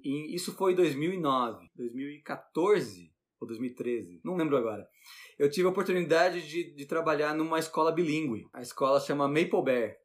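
A man's voice: fairly high pitch, expressive, moderate pace. A Brazilian man with a Brazilian Portuguese accent, a teacher chatting as an interview guest, rambling with many restarts and tag questions. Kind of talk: casual conversational interview.

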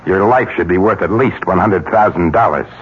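A male actor plays a calculating blackmailer and would-be killer in a radio mystery. His lowish voice is cool, terse and deliberate. The 1970s recording is dull with faint noise. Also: lightly acted. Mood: cool, threatening.

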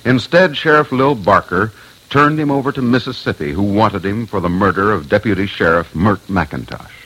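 A man's voice: lowish voice, expressive, normal speed, background noise. A man presenting grave, scripted documentary narration.

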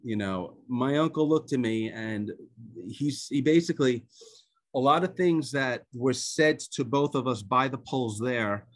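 Guy telling a personal story over a video call, hebrew first language, lots of uh and um, keeps restarting. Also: medium pitch. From United States. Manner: halting, with many fillers and restarts. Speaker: author and guest speaker.

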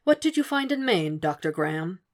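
A woman reading the lines of a calm, gleeful captive killer who speaks lightly and measuredly. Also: lowish voice, moderate pace. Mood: amused.

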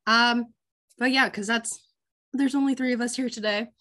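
Teen girl hosting a book podcast, kinda hoarse from a cold, lots of ums and likes. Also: on the high side, fairly even intonation, moderate pace, pushed and bright. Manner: chatty, many ums and likes. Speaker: podcast host. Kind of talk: casual book chat.

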